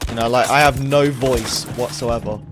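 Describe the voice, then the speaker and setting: A man's voice: mid-range voice, steady tone, moderate pace, background noise. Rambling man over gunfire. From England. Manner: rambling, with many fillers and acted asides. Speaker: game streamer. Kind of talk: casual rambling chat while gaming live. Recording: game gunfire loud behind voice. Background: video game gunfire and vehicles.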